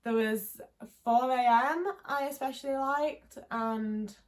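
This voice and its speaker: A woman's voice: high-pitched, slow. A young woman in her twenties with a Liverpool accent, chatting casually to camera in a rambling, candid and sweary way, full of "like" fillers. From England. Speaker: vlogger.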